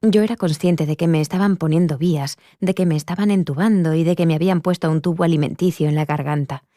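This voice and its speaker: A woman's voice: deep voice, steady tone, fast, dark tone, no echo, studio quality, lightly acted. A woman voicing an earnest, reflective woman giving a personal testimony in a dubbed interview. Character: earnest adult woman recounting her near-death experience.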